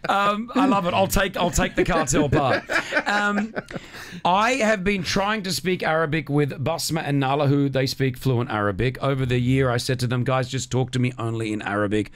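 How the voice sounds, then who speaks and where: A man's voice: medium pitch, normal speed, fairly bright tone. A man with an Australian accent, hosting a casual radio chat from a studio and laughing easily. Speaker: radio host.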